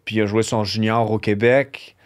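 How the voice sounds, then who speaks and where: A man with a Québécois accent speaks as an interview guest, his voice on the low side. He tells his stories in an easygoing, meandering way, filling pauses with "tu sais". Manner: relaxed, rambling, lots of "tu sais". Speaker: professional hockey player, guest. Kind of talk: casual interview storytelling.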